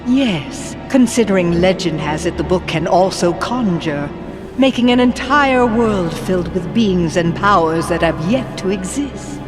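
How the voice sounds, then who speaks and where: The scheming sorceress of a fantasy video game, unhurriedly plotting in lofty, menacing words over a musical score. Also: female voice, lowish voice, expressive, a little slow, music in the background. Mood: scheming, grand. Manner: haughty, theatrical, formal diction. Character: regal, scheming evil sorceress, haughty and menacing. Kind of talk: animated video game villain acting.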